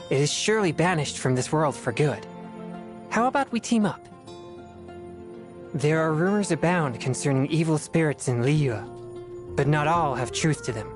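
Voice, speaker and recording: A woman voicing an earnest, disciplined teenage boy exorcist in a fantasy video game, speaking in a measured, formal way. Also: low-pitched, lively intonation, a little mellow. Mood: earnest, modest. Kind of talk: video game character voice lines. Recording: music behind the voice.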